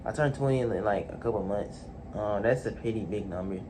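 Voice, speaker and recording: A man's voice: on the low side, a little fast, background noise. A man in his late teens talking alone in his bedroom, hesitant, full of fillers and restarts. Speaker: vlogger. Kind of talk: casual solo talk to camera.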